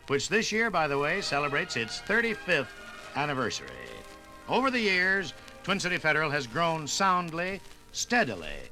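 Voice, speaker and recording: An American man hosting 1950s radio, giving a folksy, emphatic conversational sponsor pitch. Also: sharp tone, poor audio. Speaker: radio host and sponsor announcer.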